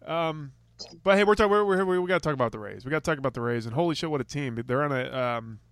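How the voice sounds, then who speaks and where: A man's voice: fairly high pitch, lively intonation, very fast, a bit bright, clear, resonant tone, dry recording. A man hosting a sports podcast in casual chat, rambling with lots of restarts and the odd swear word. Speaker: podcast host.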